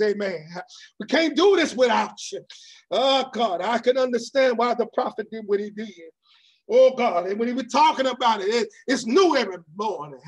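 A fervent male preacher with a very high voice and a husky, breathy edge. He keeps crying out to God between lines. He swings from ringing shouts to hushed, sinking phrases. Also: poor audio. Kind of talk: impassioned sermon.

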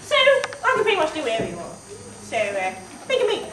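A woman's voice: high voice, wildly swooping pitch, a little fast. A woman with an English accent introducing herself on stage in a bubbly, playful way. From England. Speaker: actor auditioning. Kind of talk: casual self-introduction on stage. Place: hall stage with seated audience. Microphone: far camera. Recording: echoey hall, noisy, far off.

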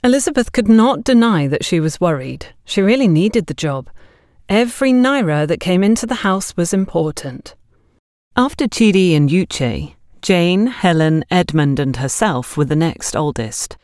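A woman narrates an audiobook story in a fairly low voice. The sound is dry and close, with a scripted storytelling delivery. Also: animated, moderate pace, a little mellow, no room sound.

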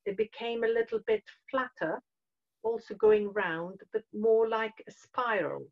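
A woman's voice: mid-range voice, measured pace. A woman in her fifties on a video call, describing her thoughts hesitantly and searching for words. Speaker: participant. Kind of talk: hesitant casual chat. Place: small room at home. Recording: dull lo-fi call audio.

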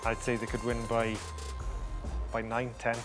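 A man's voice: medium pitch, a little slow, over music. A man in his thirties from Wales, his Welsh accent mostly faded, chatting easily and modestly as a studio guest. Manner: relaxed, easygoing, modest. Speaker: guest, rugby performance coach. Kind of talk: studio interview, casual.

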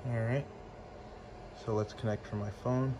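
A man's voice: medium pitch, steady tone, unhurried. Man, 40s, plain-spoken shop guy, phone-camera sound in a garage with faint noise. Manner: plain-spoken, matter-of-fact, with frequent ums and uhs. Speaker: motorcycle audio shop owner. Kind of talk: casual product demo and review. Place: garage workshop with a motorcycle. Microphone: phone camera at arm's length.

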